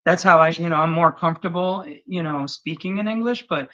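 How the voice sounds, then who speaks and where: A man's voice: a little high, fairly quick, bright, forceful tone. A man whose first language is Portuguese, talking in a loose, heartfelt, rambling way in a casual chat. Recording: slightly dull lo-fi recording.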